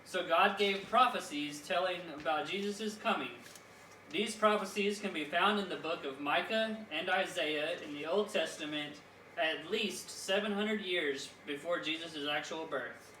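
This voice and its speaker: Kindly talking-book puppet, acted by a man, didactic, high and bright, echoey room. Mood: teacherly. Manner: didactic, measured explaining. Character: Mister Bible, kindly teacherly talking Bible puppet. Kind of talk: children's church puppet show. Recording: echoey room, distant mic, faint noise.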